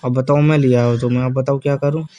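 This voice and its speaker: A man's voice: medium pitch, fairly even intonation, fast talker, mellow, no echo, muffled recording, natural voice. A man playing a defensive young husband in an audio drama, speaking in a colloquial, offhand, placating way. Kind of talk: improvised-sounding domestic dialogue in an audio story.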